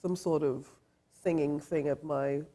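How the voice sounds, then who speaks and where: A middle-aged woman from Trinidad and Tobago, a fashion designer, answering interview questions in a soft, thoughtful way with many pauses and fillers. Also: low-pitched, fairly even intonation. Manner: soft-spoken, thoughtful, many ums and uhs. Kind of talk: interview guest.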